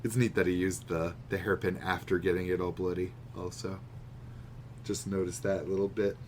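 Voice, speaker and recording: Low-voiced wry man chatting, noisy recording. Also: little pitch movement, normal speed, a little mellow. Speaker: podcast co-host. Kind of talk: casual banter about manga. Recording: noticeable background noise.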